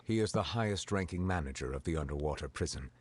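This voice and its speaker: An actor playing a composed, stately judge who speaks in a formal, measured way with a husky voice. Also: male voice, rumbling low, expressive, a little mellow, exaggerated voice.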